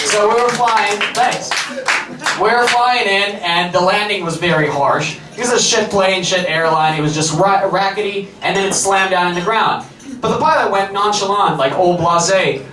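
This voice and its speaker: A young Russian man with a light Russian accent doing stand-up, telling stories in a deadpan way and breaking into shouted impressions. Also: sits high, moderate pace. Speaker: stand-up comedian. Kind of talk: stand-up comedy set. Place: large comedy club stage with a laughing audience. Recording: roomy live hall, heard from far off.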